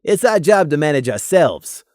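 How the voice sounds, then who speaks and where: Man acting a gruff, clipped stallion guard. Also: a little high, big pitch swings, normal speed, a little mellow, dry recording, clean studio sound. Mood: brisk. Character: Gloom, gruff stern male pegasus guard stallion, dutiful.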